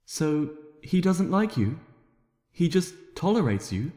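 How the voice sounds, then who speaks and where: A man voicing a shy, gentle young female pony with a hesitant, soft-spoken manner in an amateur story reading. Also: a little high, very animated, slow, pitched above the performer's own voice. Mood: gentle questioning. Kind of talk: amateur fan fiction audiobook character voice. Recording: echoey room with long reverb.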